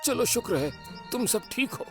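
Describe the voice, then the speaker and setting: A woman's voice: on the high side, big pitch swings, moderate pace, over loud music. A woman voicing a caring but fussy, scolding grown-up station chief in a children's cartoon dub. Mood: relieved, caring.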